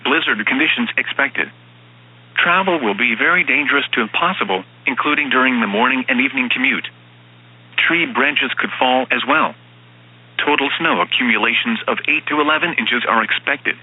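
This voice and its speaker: A synthetic man's voice reading formal emergency weather bulletins as an automated announcer, in a flat, stilted manner, with lo-fi radio sound. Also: medium pitch, normal speed, husky. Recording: dull, band-limited weather radio broadcast sound.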